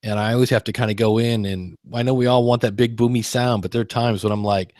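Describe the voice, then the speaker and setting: A man's voice: medium pitch, fairly even intonation, fast, darkish tone, no echo, studio quality. A man speaking as a guest in a casual roundtable chat, agreeable and conversational. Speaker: podcast guest.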